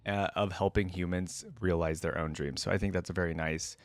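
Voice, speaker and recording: A man with a fairly low voice, recorded close and dry. He gives casual, rambling fan commentary as a reaction host, sounding enthusiastic and leaning on "like" as he thinks aloud. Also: fairly even intonation, a little fast, no echo.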